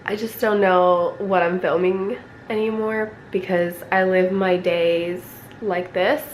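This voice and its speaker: A young woman vlogging at home with a raspy voice from a lingering cold, rambling casually and clearing her throat often. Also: fairly low pitch, fairly even intonation, slow pace, slight background noise, rough recording. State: recovering from a cold, coughing. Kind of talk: casual vlog chat. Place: at home, indoors.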